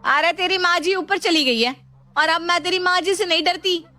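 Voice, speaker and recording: Woman as a forceful, spiteful sister-in-law. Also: extremely high pitch, normal speed, voice pushed up. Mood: taunting, angry. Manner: taunting, haughty. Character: domineering, spiteful sister-in-law lording over household. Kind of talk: acted moral storytelling.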